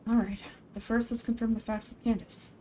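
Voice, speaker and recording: A woman's voice: on the high side, level tone, a little fast, mellow, slight background noise, close to an everyday voice. A woman voicing a stern, terse and vigilant male investigator in a video game. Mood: businesslike. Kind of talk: video game dialogue read-along.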